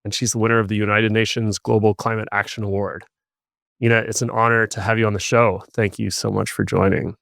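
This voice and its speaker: Low gravelly male podcast host. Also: little pitch movement, a little fast, fairly bright tone, dry recording, studio quality. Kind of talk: scripted intro and interview questions.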